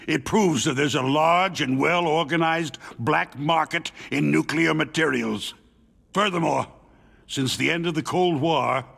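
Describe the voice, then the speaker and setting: A man voicing a wounded, cynical arms company executive in a video game, with a creaky voice and a weary, condescending way of explaining things. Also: medium pitch, fairly bright tone, heavily acted. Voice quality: creaky, gravelly.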